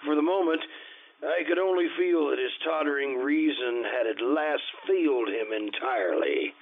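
An actor playing an anxious, loyal friend who narrates a gothic horror tale in a formal, theatrical old-time radio style. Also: male voice, medium pitch, lively intonation, normal speed. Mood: solemn dread. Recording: muffled, band-limited radio recording with music.

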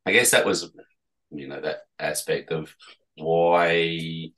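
A middle-aged man from Perth with an Australian accent, answering casually in halting, trailing phrases as an interview guest. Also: very deep voice, fairly even intonation, a bit bright. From Perth, Western Australia. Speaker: interviewee, tour guide.

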